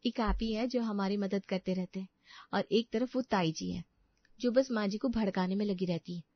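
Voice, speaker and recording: A woman's voice: medium pitch, normal speed, no echo, highs cut off, close to an everyday voice. A woman voicing an outspoken young daughter-in-law, teasing and sarcastic, in a cartoon story. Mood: playful. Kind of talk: cartoon moral story voice acting.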